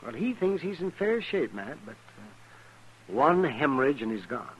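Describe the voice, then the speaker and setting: An actor playing a blunt, flustered town doctor in an old-time radio western, speaking haltingly. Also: male voice, mid-range voice, big pitch swings, breathy edge, dull audio. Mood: grim, matter-of-fact. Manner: halting, hesitant. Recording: noisy vintage radio recording.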